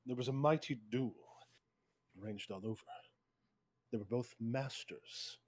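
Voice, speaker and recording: A man playing an arrogant, suspicious prince and hunter in a table read, with a deliberate, commanding, declamatory delivery. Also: mid-range voice, a little mellow, close to an everyday voice. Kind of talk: theatrical table-read performance.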